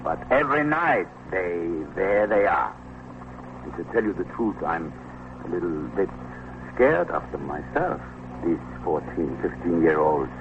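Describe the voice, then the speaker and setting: A man's voice: low-pitched, very animated, measured pace, exaggerated voice. A man playing an anxious, obsequious soda shop keeper with a hesitant, pleading delivery in 1950s radio drama. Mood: exasperated, pleading. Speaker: actor. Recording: muffled vintage radio recording, faint hiss.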